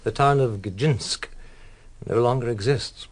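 An actor playing a grave, guarded official in a radio drama, formal and measured with halting pauses. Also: male voice, mid-range voice, slight background noise, natural voice. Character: grave, guarded British official delivering secret intelligence.

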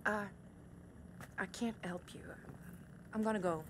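Casting: actress as wary female hacker in game drama, medium pitch, direct and questioning, noticeable noise behind. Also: steady tone, measured pace, lightly acted. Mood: uneasy. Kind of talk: cinematic video game acting. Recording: clearly noisy background.

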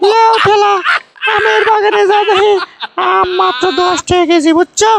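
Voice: very high voice, fairly even intonation, normal speed, creaky, heavy background noise. A performer voicing a bossy, foolish middle-aged village aunt in a comic cartoon, folksy and repetitive, with a northern Bangladeshi Bogra accent. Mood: smug storytelling. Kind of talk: comic cartoon dialogue, rambling storytelling.

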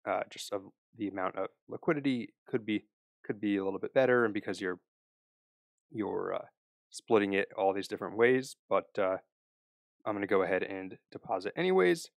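Low-voiced man walking through a tutorial. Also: brisk, vocal fry, dry recording, studio quality. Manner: relaxed explanatory, frequent "uh" and restarts. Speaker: crypto tutorial host. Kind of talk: screen-recorded step-by-step tutorial.

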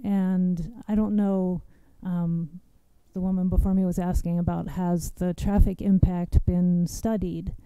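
A soft-spoken young woman giving nervous public testimony at a standing mic in a large hearing room. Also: fairly low pitch, level tone, measured pace, few pauses, smooth, no echo, poor audio.

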